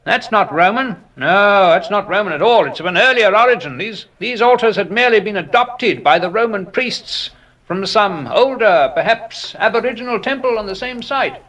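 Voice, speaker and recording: An actor playing a curious, skeptical gentleman antiquarian in a horror audio drama, erudite and exclamatory, musing aloud as he inspects things. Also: high-pitched, lively intonation, moderate pace. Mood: eager, erudite.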